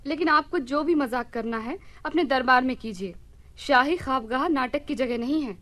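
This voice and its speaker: A woman's voice: high voice, moderate pace, fairly bright tone. An actress playing a haughty, protesting queen in a theatrical radio play. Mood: indignant. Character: indignant, regal queen, wife of the caliph. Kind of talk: Hindi radio play acting.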